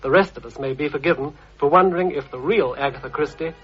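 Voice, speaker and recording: A middle-aged man reading a scripted radio essay in a measured, reflective manner. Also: a little high. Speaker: programme writer and presenter. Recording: muffled vintage radio recording.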